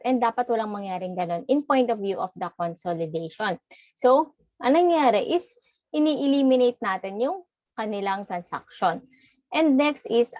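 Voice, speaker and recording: A woman's voice: mid-range voice, no room sound. A woman with a Filipino accent lecturing informally, explaining worked examples and switching between Tagalog and English. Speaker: accounting lecturer.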